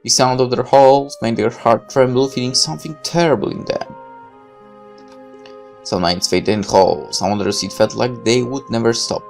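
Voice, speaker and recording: Creaky-voiced storyteller reading a game aloud. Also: mid-range voice, normal speed, darkish tone, soft background music. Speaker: gaming video host reading a visual novel aloud. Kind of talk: story read-aloud with casual asides.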